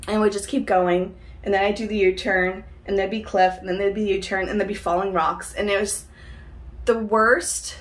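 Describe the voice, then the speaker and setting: A woman in her thirties vlogging, telling a story to the camera in a room lined with puzzle shelves, animated, gesturing and using lots of fillers. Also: medium pitch. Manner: animated, talks with her hands, many fillers like "um" and "like".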